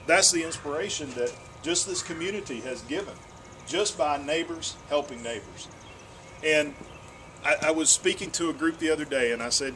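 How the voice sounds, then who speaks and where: A man's voice: fairly high pitch, a bit bright, slight background noise. Earnest man addressing a town hall. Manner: earnest, repeats words when restarting. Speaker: state senator.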